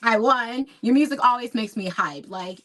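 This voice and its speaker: Woman, podcast host, higher voice, enthusiastic, casual, says "like" a lot. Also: moderate pace, a bit bright. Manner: enthusiastic, filler "like". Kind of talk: casual interview chat.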